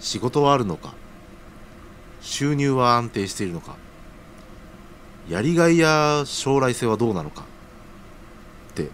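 A man's voice: medium pitch, very animated, unhurried, pauses often, fairly bright tone, slight background noise. A man acting an earnest, humble young junior worker in an audio drama, speaking casually but deferentially. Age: young adult.

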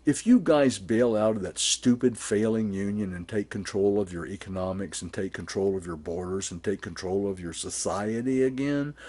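An older man in his sixties with a low, husky voice talks to a camera held close in his small garage. He rambles, stops and restarts, and speaks bluntly with plenty of cursing. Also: a little mellow. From born and raised in Germany. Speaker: vlogger. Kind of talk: casual rambling monologue to camera. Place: small home garage workshop, indoors. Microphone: camera held close at arm's length.

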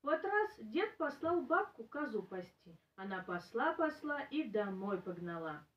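A woman in her thirties reading a children's folk tale aloud in an expressive storytelling style. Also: fairly high pitch, a little slow. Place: small indoor room with bare walls. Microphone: camera a few metres away. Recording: roomy, distant, muffled camera microphone.

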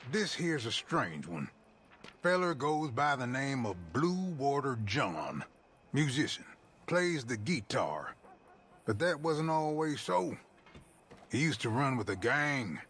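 An actor playing a wary, streetwise American frontier outlaw fixer in a video game, with a husky voice and a folksy drawl. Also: male voice, medium pitch, fairly bright tone, slight background noise, full character voice. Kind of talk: video game cutscene acting.

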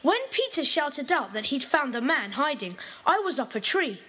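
An earnest boy, high-pitched and lively. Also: normal speed, heavily acted. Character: eager young boy club member.

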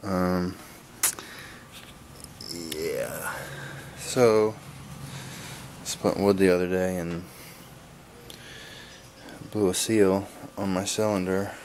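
A man giving a casual, laid-back vlog update in a halting, plain-spoken way, recorded on a handheld camera in a garage. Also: low voice, darkish tone, slight background noise. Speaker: wood-splitting video blogger. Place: garage, open to outdoors. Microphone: handheld camera's built-in mic.

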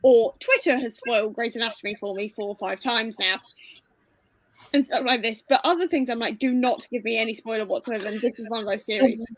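Twenties woman, high and dry-sounding, emphatic chatter on a video call. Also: a little fast, a bit bright, no room sound, poor audio. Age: 20s. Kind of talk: casual video-call chat.

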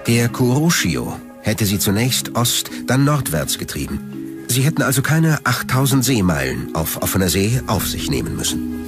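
A man narrating a scripted documentary in a measured, factual manner, recorded as a studio voice-over. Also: mid-range voice, big pitch swings. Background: background music.

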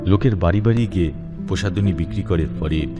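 A man narrating a dramatic scripted horror story as host, measured and suspenseful. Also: low-pitched. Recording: music behind the voice.

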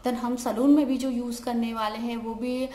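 A young woman, a salon owner, talks casually to a close phone camera, pausing often with "uh" fillers and mixing in English words. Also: fairly high pitch, little pitch movement, warm tone. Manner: hesitant, many "uh" fillers, mixes English words. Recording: echoey room, faint background hiss.

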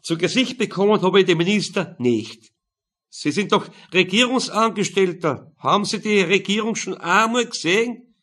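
A man's voice: high-pitched, lively intonation, moderate pace, a bit bright, voice pushed up. A man voicing an opinionated tennis coach in his sixties, delivering an emphatic, conspiratorial monologue in an audiobook. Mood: indignant, insistent. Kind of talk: audiobook character dialogue.

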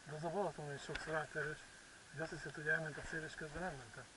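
A man's voice: fairly high pitch, fairly even intonation. A man chatting casually at a lakeside while steering a model sailboat, thinking aloud and asking questions. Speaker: model boat racer. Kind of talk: casual outdoor chat. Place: lakeside outdoors. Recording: distant voice with loud wind noise. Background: wind and outdoor ambience.

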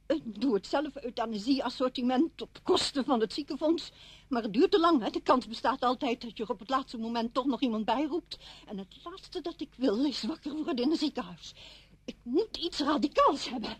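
An actress playing a bitter, stubborn, grieving widow in a radio play, brooding and cutting. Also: female voice, sits high, animated, normal speed, breathy edge, no echo. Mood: coldly sardonic. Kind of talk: Dutch radio play acting.

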